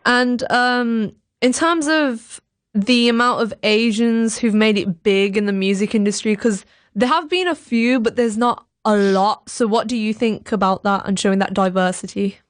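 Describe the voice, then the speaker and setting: Young female radio interviewer, casual and chatty, higher-pitched, close dry recording. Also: normal speed, no room sound.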